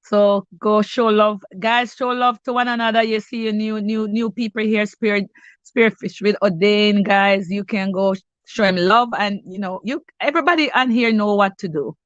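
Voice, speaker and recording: A woman's voice: medium pitch, fairly even intonation, moderate pace, no echo, muffled recording. A middle-aged Jamaican woman hosting a cooking live stream, chatting casually with viewers by name. She sounds stuffy and congested and often restarts her sentences. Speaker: cooking channel host.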